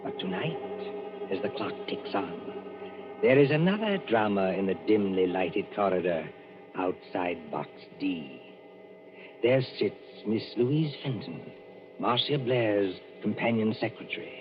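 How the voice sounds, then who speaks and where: Low voiced guy narrating an old radio drama, swoopy delivery, music under it. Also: lively intonation. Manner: measured, ominous. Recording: dull lo-fi radio recording with music.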